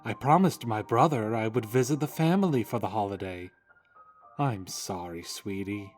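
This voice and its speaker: Very deep-voiced affectionate mare played by a woman. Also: lively intonation, moderate pace, darkish tone, faint music behind. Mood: fond, apologetic. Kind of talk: character acting in an audio story.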